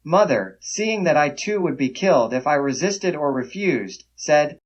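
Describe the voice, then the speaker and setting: A man's voice: a little high, animated, a little slow, muffled recording. A man voicing a memoirist who solemnly recounts his boyhood capture and his mother's death, read as a dramatic first-person account. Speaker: voice actor reading a memoir.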